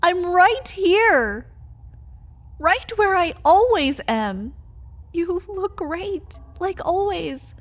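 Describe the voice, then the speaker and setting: A woman's voice: very high voice, very animated, very slow, full character voice. A woman playing a desperate, bitter vampire captive pleading with a lover in a dramatic ASMR roleplay. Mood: pleading, coaxing. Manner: dramatic, swinging between breathy whispers and pleading outbursts.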